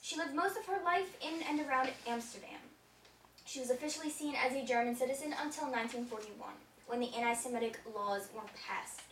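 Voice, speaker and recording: A woman's voice: sits high, fairly quick, fairly bright tone. A young woman co-anchoring an amateur mock newscast in a theatrical, scripted style. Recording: echoey room, distant, some noise.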